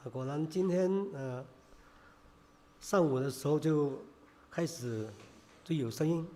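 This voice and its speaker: A man preaching a sermon in Chinese, hoarse from a cold, halting with many filler sounds. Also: on the high side, dark tone.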